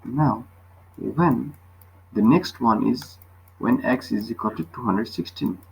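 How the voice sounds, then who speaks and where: A man's voice: mid-range voice, slow pace, gravelly, a little mellow, a little hiss or hum, low-quality recording. A man tutoring physics, explaining a worked problem step by step and often checking with short questions.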